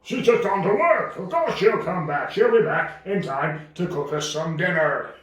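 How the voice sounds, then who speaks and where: A man's voice: sits high, lively intonation, moderate pace, crowd noise. A man voicing a bossy, blustering, greedy dragon father who scolds his son in a homemade children's puppet show. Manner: scolding, exclamatory, swooping. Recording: very echoey room, distant mic.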